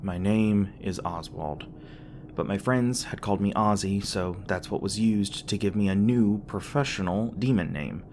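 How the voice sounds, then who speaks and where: Man playing a bashful low-voiced demon boyfriend. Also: measured pace, darkish tone, a little hiss or hum, lightly acted. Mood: embarrassed. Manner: hesitant, stammering, bashful and defensive, with sighs and chuckles. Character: flustered, tender young male demon boyfriend, secretly human-born. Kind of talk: intimate ASMR boyfriend roleplay.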